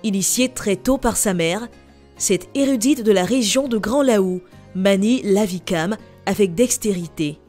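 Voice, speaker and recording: A woman from Côte d'Ivoire, reading a scripted TV news voice-over in an even, measured way. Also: mid-range voice, faint music behind. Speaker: TV reporter.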